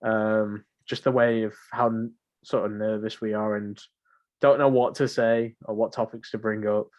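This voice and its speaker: A man's voice: fairly low pitch, little pitch movement, moderate pace, a little mellow, lo-fi sound. A man co-hosting a podcast in unscripted casual chat, rambling along in a slangy, jokey way. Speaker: podcast co-host.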